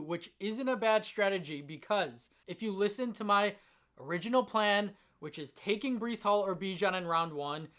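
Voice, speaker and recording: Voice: mid-range voice, a bit bright. A fantasy football host giving advice straight to the audience, emphatic and enthusiastic, with a voice slightly strained from long talking. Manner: emphatic, enthusiastic about the picks. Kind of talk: direct-to-audience sports advice commentary.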